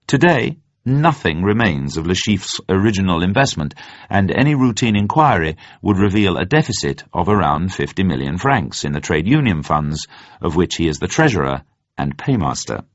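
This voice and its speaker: A low-voiced man narrates scripted drama with measured, formal phrasing. His pitch swings expressively from phrase to phrase. The audio is dull and slightly muffled. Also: no room sound.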